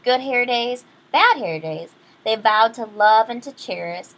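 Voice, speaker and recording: A woman reading a children's picture book aloud expressively, pausing to explain things to young listeners. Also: a little high, animated, fairly bright tone. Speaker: read-aloud storyteller.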